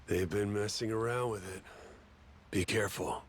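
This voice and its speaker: An actor playing a loyal, cautious, gruff pirate crewmate in a video game, speaking in a no-nonsense, urgent way. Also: male voice, lowish voice, fairly even intonation, normal speed, a little hiss or hum, close to an everyday voice. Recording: loud music behind the voice.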